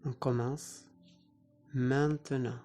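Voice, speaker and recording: A yoga nidra teacher guiding a relaxation meditation in short, soothing phrases with pauses between them. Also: mid-range voice, steady tone, mellow, soft background music.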